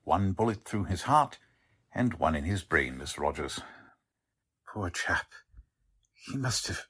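A fussily formal warehouse manager from a 1940s radio mystery. His husky voice swings up and down as he politely, hesitantly explains and defends himself. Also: deep voice, big pitch swings, slow, fairly bright tone, full character voice. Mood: formal, explanatory. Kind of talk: 1940s radio drama acting.

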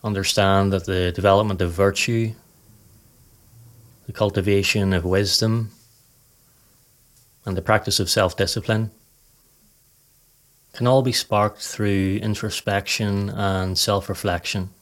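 A man narrating a scripted guided meditation in a calm, measured, soothing way. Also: lowish voice.

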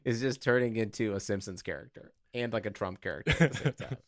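A man's voice: fairly low pitch, fast talker, no room sound, clean studio sound. A man co-hosting a podcast in casual sports banter, sarcastic and given to swearing. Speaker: podcast co-host.

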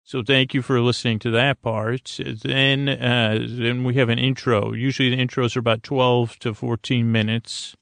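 A man with a very creaky, gravelly voice, recorded close and dry. He speaks as a podcast host lulling listeners to sleep, hesitating, stammering and drifting off into soothing, aimless tangents. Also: medium pitch, flat delivery, moderate pace, few pauses, a bit bright, no room sound. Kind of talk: rambling, meandering bedtime monologue to help listeners sleep.

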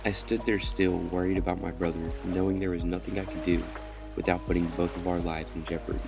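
Low, creaky young man narrating horror. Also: level tone, a little fast. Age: young adult. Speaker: podcast host and story reader. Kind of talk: dramatic first-person horror story narration. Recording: music behind the voice.

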